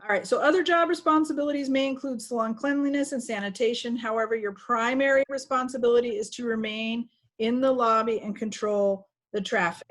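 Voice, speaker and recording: A woman's voice: fairly high pitch, a little fast, hardly any pauses. A woman trainer giving an informal, instructive walkthrough to staff over a video call. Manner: instructive, conversational. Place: video call with screen sharing.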